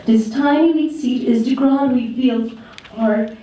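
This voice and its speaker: A woman's voice: fairly high pitch, warm tone, lo-fi sound. Turkish woman giving a poetic speech in an echoey hall. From Turkey. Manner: slow, repetitive incantatory delivery. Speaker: conference speaker. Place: large conference hall. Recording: echoey hall, speaker far from mic.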